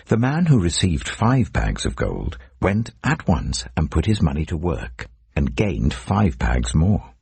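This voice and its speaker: Very deep, raspy male Bible narrator. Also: very animated, unhurried, hardly any pauses, darkish tone, dry recording. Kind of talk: dramatic scripted scripture narration.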